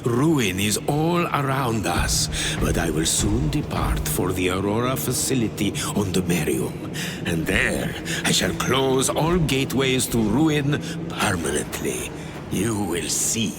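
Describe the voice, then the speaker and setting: An actor playing an obsessive, sinister, grandiose tech-priest in a video game, with a theatrical, insinuating delivery. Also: male voice, fairly low pitch, very animated, takes it slowly, loud music behind the voice. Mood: ominous curiosity.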